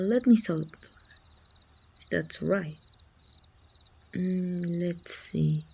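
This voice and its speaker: A woman's voice: lowish voice, creaky, fairly bright tone, breathy edge, exaggerated voice. A woman with a Mexican accent playing a friendly, helpful, chatty city guard from a medieval fantasy kingdom in an ASMR roleplay, speaking reassuringly and politely to the listener. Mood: puzzled, helpful.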